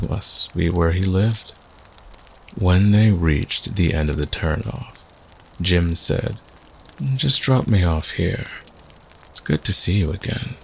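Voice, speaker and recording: A man acting as a laconic storyteller at a campfire, deep and gravelly, telling an eerie tale in an understated way. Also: slight background noise, full character voice, low-quality recording. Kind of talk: audio roleplay campfire storytelling.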